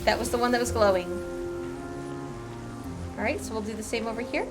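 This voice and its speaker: A woman's voice: a little high, a little fast. A woman doing casual gameplay commentary as a streamer, chatty, playful and gushing. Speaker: game streamer. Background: game music.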